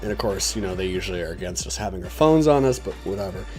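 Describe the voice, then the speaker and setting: A man's voice: low voice, lively intonation, fast, a little mellow. A young man telling personal stories in a casual, rambling, laid-back way, swearing freely. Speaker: storyteller on his own video channel. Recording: voice over background music. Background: music.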